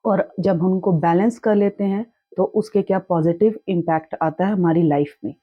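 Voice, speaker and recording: A young woman teaching in a calm, reassuring way, with a sore throat that makes her clear it often. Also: fairly low pitch, moderate pace, no room sound, narrow, tinny audio. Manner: calm, reassuring, mixes English words into her talk. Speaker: chakra healing teacher. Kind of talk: casual spoken tutorial.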